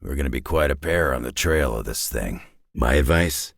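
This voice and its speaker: An actor playing a gruff, battle-worn older mutant brawler in a video game, with a deep gravelly rasp and a terse, sardonic, folksy tough-guy delivery. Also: expressive, normal speed, no room sound, studio quality, heavily acted. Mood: wry, low. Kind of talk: video game character voice lines.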